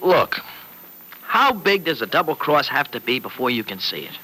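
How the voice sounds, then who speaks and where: An actor playing a fretful, protective, sardonic uncle in a folksy, hesitant way, in old-time radio serial style. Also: male voice, medium pitch, sing-song, moderate pace, pushed and bright, breathy edge, heavily acted. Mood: irked, sardonic. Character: Uncle Freddy, gruff-hearted fretful family man, protective and sardonic. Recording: noisy vintage radio recording.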